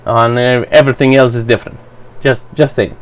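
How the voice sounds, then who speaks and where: A man's voice: mid-range voice, steady tone, slight background noise. Profane rambling man, Romanian native. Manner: blunt and profane, halting restarts. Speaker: vlogger. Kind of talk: rambling personal commentary.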